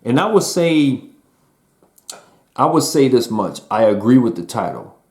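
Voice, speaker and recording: A man's voice: medium pitch, big pitch swings, a little slow, a little mellow. A man giving a casual spoken music review in a laid-back, slangy way, peppering his talk with "man" and "um". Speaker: album reviewer.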